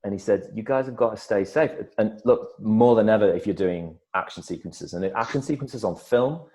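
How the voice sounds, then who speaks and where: Low-voiced animated male storyteller. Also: moderate pace. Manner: animated storyteller, many restarts and repeated words. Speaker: actor guest. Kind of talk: casual interview chat.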